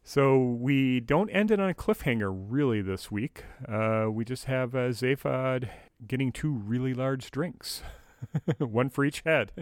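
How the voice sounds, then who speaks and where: A man co-hosting a podcast, chatting casually in a measured, explanatory way. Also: mid-range voice, no room sound, clean studio sound. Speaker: podcast co-host. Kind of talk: casual chat.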